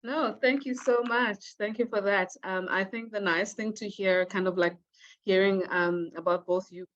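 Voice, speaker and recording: A woman's voice: mid-range voice, steady tone, normal speed, a bit bright. A woman moderating a webinar over a video call, speaking in a reflective, loose way with some fillers. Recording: slightly dull lo-fi video call.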